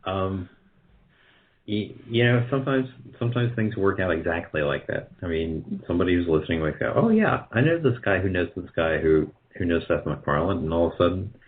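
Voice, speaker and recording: A man's voice: low voice, expressive, brisk. A man chatting casually as an interview guest, thinking aloud in a hesitant, rambling way. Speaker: guest, radio drama producer. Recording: muffled, band-limited call audio.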